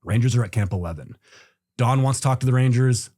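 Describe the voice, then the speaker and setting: A man's voice: medium pitch, a little fast, dark tone, no echo, studio quality. A man telling a story as a podcast guest, easygoing and rambling, with lots of fillers and restarts. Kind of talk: casual storytelling in an interview.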